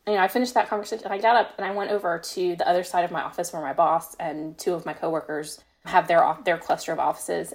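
A young American woman telling personal stories in casual conversation as a podcast guest, with a modest, self-deprecating manner. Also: mid-range voice. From United States. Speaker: podcast guest, fan fiction writer. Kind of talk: casual chat, personal anecdotes.

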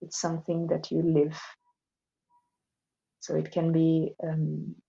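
Slow-spoken Indian woman, lowish voice. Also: monotone, gravelly, dark tone, lo-fi sound. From Assam, northeast India. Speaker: interview guest, yoga teacher. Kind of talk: thoughtful podcast conversation.

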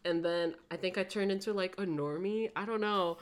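A woman in her twenties telling stories in a relaxed chat, talkative, saying "like" often and laughing easily. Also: on the low side, moderate pace, clear, resonant tone. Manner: chatty, laughs often, lots of "like". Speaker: interviewee. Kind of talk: casual conversation.

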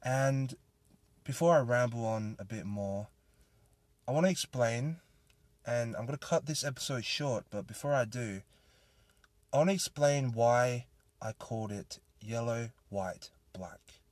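A man's voice: medium pitch, a little slow, darkish tone, no echo. A young Australian man hosting a solo podcast, talking in an earnest, rambling and grateful way. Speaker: podcast host. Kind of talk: casual solo podcast monologue.

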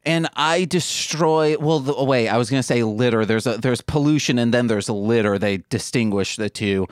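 A man's voice: mid-range voice, normal speed, no echo, clean studio sound. A man hosting and producing a comedy podcast, joking and rambling through his plugs. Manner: goofy, rambling, jokey asides. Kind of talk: casual comedic podcast chat.

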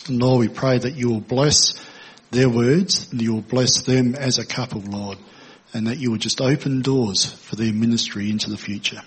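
Husky-voiced man leading prayer. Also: medium pitch, fairly even intonation, moderate pace, low-quality recording. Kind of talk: spoken prayer.

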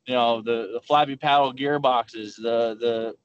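A man's voice: mid-range voice, steady tone, unhurried, smooth. Rambling man on muffled call audio. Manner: rambling, full of restarts. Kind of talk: casual chat.

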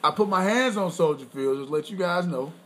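A man co-hosting a podcast with his wife, talking in a loose, boastful, rambling way and clearing his throat often. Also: sits high, animated, brisk, fairly bright tone. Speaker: podcast co-host. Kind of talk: casual chat.

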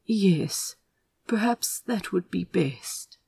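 A woman's voice: mid-range voice, animated, drawn-out words, gravelly, mellow, husky, full character voice. A woman playing a polite, easily flustered man with a formal, restrained way of speaking in audiobook dialogue. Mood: strained politeness.

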